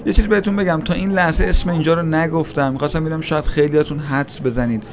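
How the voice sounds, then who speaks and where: A man's voice: fairly high pitch, steady tone. A man giving a casual, chatty walking-tour narration, talking straight to his viewers as he strolls through a large indoor shopping mall. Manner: chatty, addresses viewers directly, musing. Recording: noisy mall ambience. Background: mall crowd ambience.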